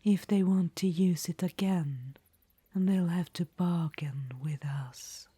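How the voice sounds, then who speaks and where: A woman's voice: on the low side, takes it slowly, warm tone, dry recording, full character voice. A woman voicing an ancient, vain undead witch-queen in an audio roleplay, whispering and calling the listener darling. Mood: languid, conspiratorial. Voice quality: breathy whisper.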